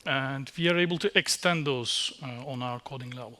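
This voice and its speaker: A man, giving a technical conference talk on a dark stage, halting with fillers and restarts. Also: medium pitch. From Turkey. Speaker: conference speaker. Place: dark stage in a small venue.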